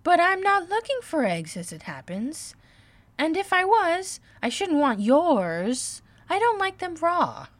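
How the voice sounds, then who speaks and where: A polite little girl from a storybook, played by a woman, high and very expressive. She speaks slowly and earnestly. She sounds puzzled and ready to take offence, stressing her words. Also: no echo, heavily acted. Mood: earnest protest. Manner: indignant, earnest, emphatic. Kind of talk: dramatised storybook reading.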